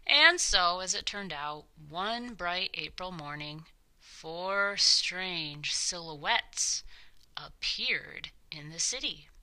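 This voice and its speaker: A woman narrating a children's story from a script, with dramatic, deliberate phrasing. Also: fairly low pitch, lively intonation, bright, forceful tone, low-quality recording.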